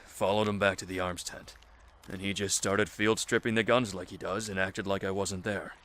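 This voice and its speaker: A man acting a calm, articulate camp leader in an audio drama, speaking in a measured, dry way. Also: low-pitched. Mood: calm, attentive.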